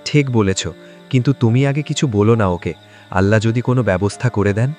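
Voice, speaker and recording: A man's voice: on the low side, fairly quick, darkish tone, faint music behind, close to an everyday voice. A man playing a poor, hardworking village father, earnest, meek and polite, in a moral-story cartoon. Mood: distressed.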